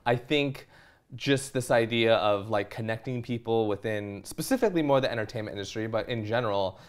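A man's voice: on the low side, a little fast, clean tone. A man in his thirties hosting a casual interview, talking informally and thinking aloud as he rambles along. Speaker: podcast host, TV producer. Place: small furnished indoor set with curtains.

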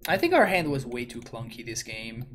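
A man's voice: mid-range voice, big pitch swings, normal speed, fairly bright tone, a little hiss or hum. A young man with an Argentinian accent, casually thinking aloud while playing a card game and chatting with viewers on a headset mic. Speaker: streamer. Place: home room at a desk.

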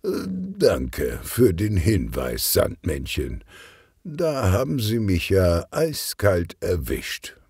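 A man's voice: sits low, huge pitch swings, slow pace, vocal fry, darkish tone, breathy edge, no echo, studio quality, exaggerated voice. A man voicing a jovial, unflappable older police detective in a children's audiobook, good-humoured and slightly bumbling. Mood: sheepish.

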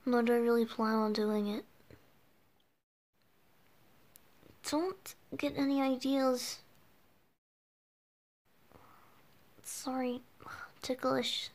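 A woman voicing a flustered, bashful boyish bard with cat ears in an ASMR roleplay, halting and stammering as the character talks to the listener. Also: fairly high pitch, steady tone, darkish tone. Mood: flustered, ticklish. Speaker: audio roleplay performer.

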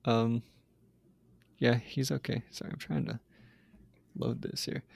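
A man co-hosting a podcast, recapping a TV episode in casual, animated chat with mocking asides. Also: mid-range voice, unhurried, creaky, breathy edge, no echo. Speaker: podcast co-host.

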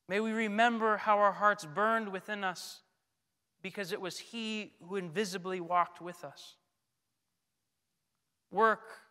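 A man in his thirties, a priest, delivering a scripted sermon in a solemn, deliberate manner with frequent pauses. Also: sits high, pushed and bright, clear, resonant tone. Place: large church, indoors at a pulpit. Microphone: gooseneck pulpit microphone. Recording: long church reverb.

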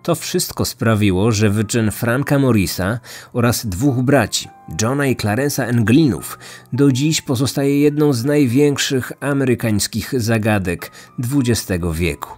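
A man's voice: mid-range voice, unhurried, darkish tone. A man in his thirties reading scripted true-crime narration as a podcast host. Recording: music under the voice. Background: background music.

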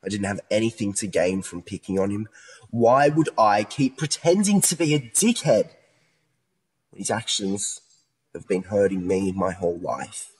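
A man's voice: lowish voice, very animated, normal speed, darkish tone, slightly rough, poor audio. A man acting in a modern radio play as a distressed, earnest young man pleading his innocence over a split personality. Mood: desperate pleading.

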